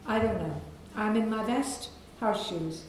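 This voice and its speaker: A woman with a soft, croaky voice, an author reading aloud from her novel to an audience in a library. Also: mid-range voice, heavy reverb, a little hiss or hum, poor audio. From England. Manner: measured reading with clearing of the throat.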